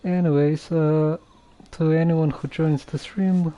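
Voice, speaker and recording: A game streamer giving casual live commentary in a hesitant, halting, subdued way. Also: mid-range voice, level tone, dark tone, muffled recording.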